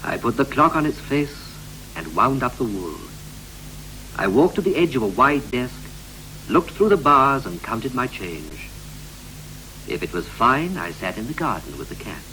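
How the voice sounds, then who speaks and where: An actor playing a weary, brooding ageing husband in a 1960s poetic radio play, speaking in deliberate, declamatory phrases. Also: male voice, mid-range voice, sing-song, bright, forceful tone. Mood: weary, reflective. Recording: noisy, hissy 1960s radio recording.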